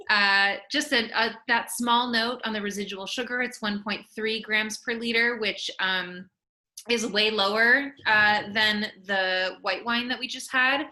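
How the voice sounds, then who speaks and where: A woman's voice: medium pitch, fairly even intonation, unhurried, bright, forceful tone. A woman hosting a virtual wine tasting, giving friendly, informative notes with frequent fillers over a video call.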